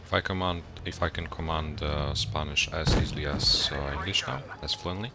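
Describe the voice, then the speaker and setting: A man's voice: a bass voice, normal speed, creaky, very noisy. A young man from Poland with a Polish accent, a university student whose first language is Polish. He chats casually with viewers on a gaming livestream, greeting them and thinking aloud as he searches for words. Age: young adult. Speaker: gaming streamer. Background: game music.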